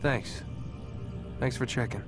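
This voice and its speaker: A man's voice: medium pitch, slow, music in the background, natural voice. An actor playing a frustrated, defiant young male captive in a video game, sarcastic and informal. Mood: subdued. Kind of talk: video game cutscene acting.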